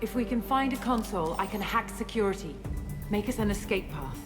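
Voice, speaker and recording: A woman playing a guarded, self-assured scientist and space operative in a video game, explaining things in a measured way. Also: medium pitch, expressive, natural voice. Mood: urgent, focused. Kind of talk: video game cutscene acting. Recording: music under the dialogue.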